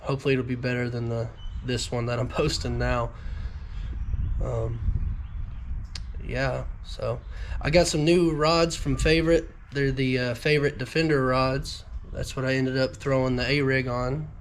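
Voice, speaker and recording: A man's voice: mid-range voice, level tone, a little slow, slight background noise. A young man, a little nasal from a recent illness, rambling casually to his viewers with lots of ums as a fishing vlogger. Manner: rambling, frequent ums and restarts. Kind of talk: casual talk to camera.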